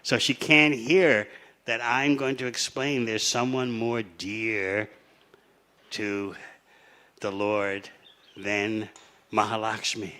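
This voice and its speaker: Mighty male god voiced by a man, whispering, lower and slower than usual, soft, secretive, bright tone. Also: little pitch movement. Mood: hushed, confiding. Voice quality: hushed, lowered voice. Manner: speaks softly and slowly, confiding.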